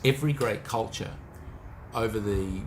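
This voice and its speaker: A man's voice: on the low side, normal speed, a little hiss or hum. A middle-aged man with a New Zealand accent giving an informal motivational talk, reflective and speaking straight to the listener.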